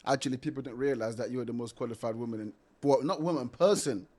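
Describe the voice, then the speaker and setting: A man's voice: medium pitch, fast, darkish tone. Playful male podcast host. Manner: playful, joking, many fillers. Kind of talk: casual interview chat.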